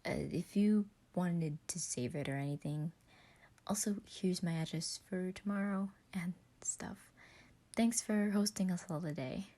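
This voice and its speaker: A woman's voice: fairly low pitch, measured pace, warm tone. Woman voicing a chirpy, folksy, lovestruck man. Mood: cheerful, friendly. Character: bubbly, friendly, lovestruck man, easily flustered.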